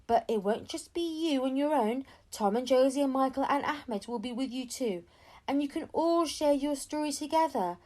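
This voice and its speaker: A woman's voice: high voice, moderate pace, warm tone, pitched above the performer's own voice, lightly acted. A woman voicing a loving, decisive mother talking to her young child in a storybook. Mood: earnest, encouraging.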